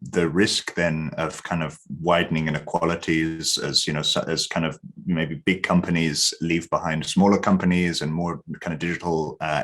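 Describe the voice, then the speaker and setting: A man moderating a citizens' panel discussion, rambling with many fillers and restarts. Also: low-pitched.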